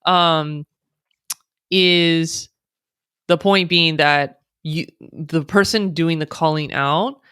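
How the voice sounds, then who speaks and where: American woman, smooth clear voice, dry close sound, thoughtful explainer. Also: deep voice, extremely slow, fairly bright tone, no echo. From United States. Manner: thoughtful, speaks in long explanatory runs with fillers. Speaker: guest, diversity consultant. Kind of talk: conversational interview.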